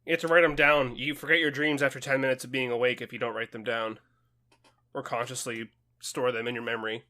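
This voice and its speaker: A man's voice: medium pitch, little pitch movement, fast talker, sharp tone. A man co-hosting a podcast in casual, joking chat, wisecracking and swearing freely. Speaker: podcast co-host.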